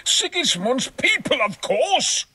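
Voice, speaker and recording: A man's voice: extremely high pitch, very animated, takes it slowly, pushed and bright, full character voice. A man playing a frightened, paranoid nobleman in a video game, pleading and dramatic as if hunted. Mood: panicked. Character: frightened, paranoid nobleman hiding from would-be assassins. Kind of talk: video game cutscene acting.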